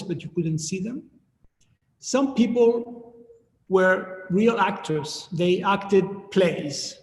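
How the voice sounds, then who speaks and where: Middle-aged Chilean-born man, high voice, echoey room. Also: darkish tone. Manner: measured, restarts phrases while thinking. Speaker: artist guest. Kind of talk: thoughtful Q&A answers. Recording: echoey room with long reverb.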